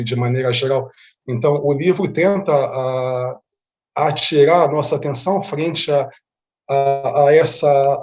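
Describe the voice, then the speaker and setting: A man's voice: mid-range voice, a little mellow. A man with a Brazilian accent answering as an interview guest in an earnest, conversational way, repeating phrases for emphasis. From born in Rio de Janeiro, Brazil, feels Bahian. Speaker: interview guest, humanitarian diplomat.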